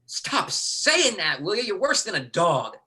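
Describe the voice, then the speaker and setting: An actor reading a domineering, rough older brother in a play, with a husky voice and badgering, slangy speech. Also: male voice, sits high, very animated, a little slow, pushed and bright, exaggerated voice. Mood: exasperated.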